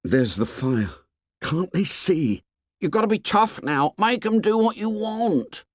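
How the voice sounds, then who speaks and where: Audiobook: squeaky, shrill fretful schoolboy who whines and pleads, pitched well up. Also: male voice, very animated, measured pace, dry recording, studio quality. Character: shrill anxious plump schoolboy with glasses.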